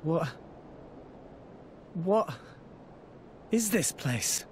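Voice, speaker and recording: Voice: mid-range voice, lively intonation, extremely slow, slight background noise. A man playing an eager, hopeful teenage boy adventurer in a dramatic video game scene. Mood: shocked, despairing. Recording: long reverb, music under voice.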